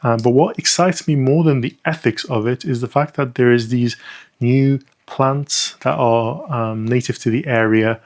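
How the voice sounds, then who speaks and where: A man talks through a set of perfume samples in an easygoing, unscripted way. His voice sits in an ordinary middle pitch. He fills pauses with ums and thinks out loud as he goes. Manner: chatty, frequent ums, thinking aloud. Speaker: perfume reviewer. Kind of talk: casual first-impressions review.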